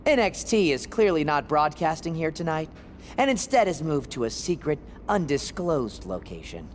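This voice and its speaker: Weaselly male TV producer for a game cutscene: high voice, theatrical swoops, ringing hall. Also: very animated, normal speed. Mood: smug. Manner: theatrical, smug or pleading. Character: smarmy male wrestling-show producer, cowardly when threatened. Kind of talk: video game cutscene acting. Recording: echoey hall with faint noise.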